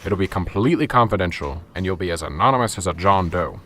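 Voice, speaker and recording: A man voicing a gruff, impatient male police sergeant in an amateur radio drama, curt in manner. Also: deep voice, lively intonation, quick pace, bright, forceful tone, slight background noise.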